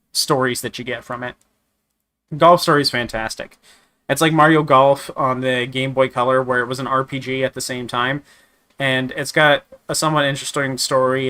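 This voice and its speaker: A man with a slightly raspy voice from a sore throat, chatting casually while reviewing games, rambling with many restarts and fillers. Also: medium pitch, bright, forceful tone. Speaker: gaming streamer. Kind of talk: casual game review chat.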